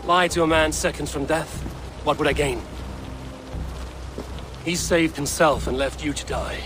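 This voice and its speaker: A male actor as a resolute Viking warrior. He speaks in short, firm, measured phrases, persuasive and merciful, as in a dramatic game scene with prominent music behind him. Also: a little high, lightly acted. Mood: grave, insistent. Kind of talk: dramatic video game acting.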